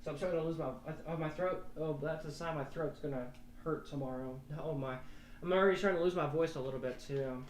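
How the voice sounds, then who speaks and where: A young man rambles casually through live weather commentary, his voice sitting a little high. He sounds a bit distant from the mic in a roomy, echoing space. A faint hum runs underneath. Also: brisk. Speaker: weather forecaster.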